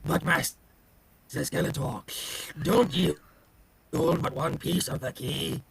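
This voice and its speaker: A man voicing a servile, brutish beast-man henchman with a gravelly, husky voice in a comic book table read. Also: on the high side, slow pace, a bit bright, no echo, exaggerated voice. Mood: doubtful, servile.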